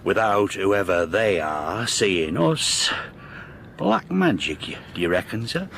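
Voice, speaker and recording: An actor playing a stolid, deferential police sergeant, plain-spoken, in a radio horror drama. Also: male voice, sits low, very animated, slow, creaky, a bit bright, slight background noise, exaggerated voice. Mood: matter-of-fact. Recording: radio drama with music behind.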